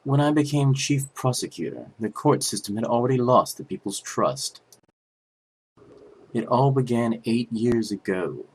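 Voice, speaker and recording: A man playing a stern, formal chief prosecutor in a game fan dub, speaking in a dignified, deliberate way over music. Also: medium pitch, breathy edge, low-quality recording. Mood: grave. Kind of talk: scripted anime-game fan dub acting. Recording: music behind the voice.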